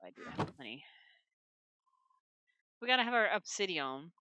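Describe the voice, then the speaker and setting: Woman gamer, bright tone, home desk mic, rambling let's-play chatter to herself. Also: on the low side, big pitch swings, normal speed, no room sound, lo-fi sound. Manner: rambling, talks to herself while playing. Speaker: gaming channel host. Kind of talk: casual let's-play commentary. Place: her room at home. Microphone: desk microphone.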